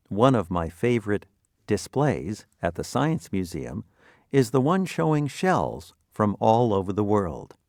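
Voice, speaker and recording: A man narrating a scripted vocabulary lesson in deliberate, clearly separated phrases. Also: on the low side, a little mellow, no echo, studio quality.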